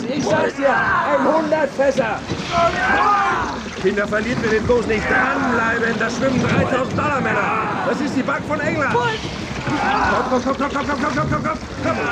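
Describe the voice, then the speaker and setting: An actor playing a frantic, money-hungry whaleboat mate, shouting repetitive urgings at his crew in a radio drama. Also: very high voice, moderate pace, pauses often. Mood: frantic, excited. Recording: very noisy rowing boat at sea.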